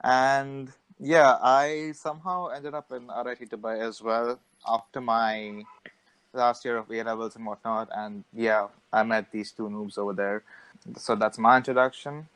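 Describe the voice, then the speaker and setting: Young man, podcast co-host, playful, upbeat, smooth voice, dry sound. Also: mid-range voice, fairly even intonation, measured pace, fairly bright tone, no room sound, low-quality recording. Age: young adult. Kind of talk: casual chat.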